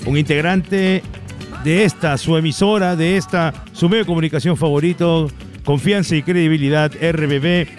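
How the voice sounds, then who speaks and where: A man's voice: on the high side, normal speed, faint music behind. A man, a radio presenter teasing his guests in comic banter. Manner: teasing, playful, animated.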